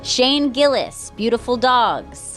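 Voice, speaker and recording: A woman's voice: fairly high pitch, sing-song, slow pace, fairly bright tone, soft background music. A woman co-hosting a comedy talk show in a casual, conversational style.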